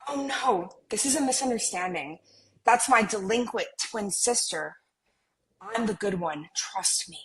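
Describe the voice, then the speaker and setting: A woman's voice: mid-range voice, a little slow, a bit bright, a little hiss or hum, rough recording. A woman playing an earnest, socially conscious, awkward millennial twin in a comedy table read, with casual phrasing. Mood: pleading.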